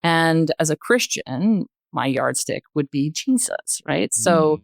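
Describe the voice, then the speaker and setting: An older woman talks as a podcast guest. Her voice sits low and the sound is dry. She thinks aloud with emphasis, often checking that the listener follows. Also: animated, takes it slowly, creaky, dry recording. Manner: emphatic, searching phrasing, often checking with "right?". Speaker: podcast guest, retired journalist. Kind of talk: reflective podcast discussion.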